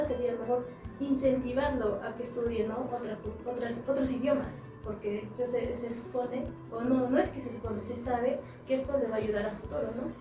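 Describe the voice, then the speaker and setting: A young woman, a student speaking earnestly in a group discussion, pausing on fillers. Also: a little high. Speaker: psychology student. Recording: distant echoey room with music.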